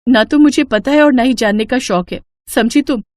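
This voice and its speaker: A woman voicing a gentle, innocent young village bride in a storybook tale, polite and earnest. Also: a little high, normal speed, dry recording, close to an everyday voice. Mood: hurt, firm. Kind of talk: animated storybook acting.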